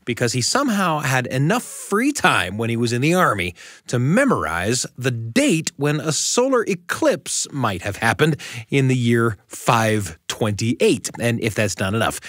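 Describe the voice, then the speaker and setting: Lively, wry man hosting. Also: mid-range voice, a little slow, no room sound, clean studio sound. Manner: wry, chatty. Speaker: show host. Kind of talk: scripted storytelling narration.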